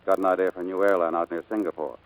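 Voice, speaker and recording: Casting: man playing footloose flyboy, low voice, cocky and slangy, 1940s radio drama. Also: fairly even intonation, very fast, phone-quality sound, close to an everyday voice. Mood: breezy. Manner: slangy, offhand. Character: breezy, cocky, footloose male pilot, casually offhand. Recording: vintage 1940s radio broadcast.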